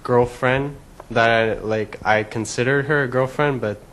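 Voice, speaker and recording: A man's voice: medium pitch, steady tone, measured pace, a bit bright. A young man in his twenties from Quebec, a construction worker, speaking sheepishly in a confessional interview. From Quebec, Canada. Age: 20s. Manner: sheepish, laughing at himself. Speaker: construction worker, reality show contestant.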